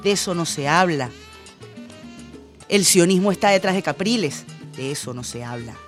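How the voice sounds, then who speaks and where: Woman radio host, sarcastic, pointed, very lively pitch, faint music under. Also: normal speed. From Venezuela. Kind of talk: radio commentary.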